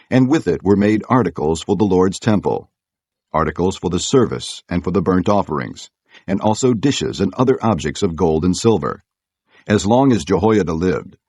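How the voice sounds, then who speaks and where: Male narrator, very low pitch, raspy edge, formal measured scripture reading, dry studio sound. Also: a little mellow, no echo.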